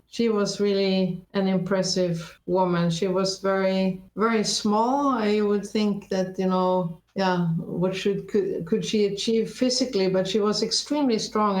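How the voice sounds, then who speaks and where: A woman's voice: mid-range voice, reverberant, low-quality recording. A woman whose first language is Norwegian, raised in Sweden, speaking thoughtfully as an interview guest with frequent restarts and self-corrections. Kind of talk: reflective conversational interview.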